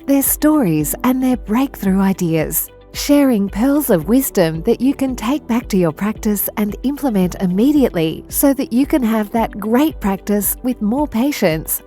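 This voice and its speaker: Mellow-voiced female announcer over music. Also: fairly high pitch. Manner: polished promotional delivery. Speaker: show announcer. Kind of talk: scripted intro.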